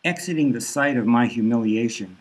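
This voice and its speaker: A man reading his own dark satirical novel aloud in a dry, deadpan first-person voice. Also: mid-range voice, fairly quick. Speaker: author narrating his novel. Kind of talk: dark satirical audiobook narration.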